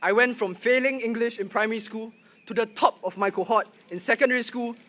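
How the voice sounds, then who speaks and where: Young man, high and bright. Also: fairly quick, slight background noise. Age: young adult. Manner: earnest, storytelling. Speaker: first-time voter speaking at rally. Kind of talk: prepared rally speech. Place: outdoor rally with loudspeakers.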